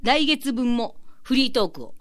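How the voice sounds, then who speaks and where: A woman's voice: high voice, expressive, moderate pace, no room sound, clean studio sound. A woman hosting a radio show in a polite, playful presenting style.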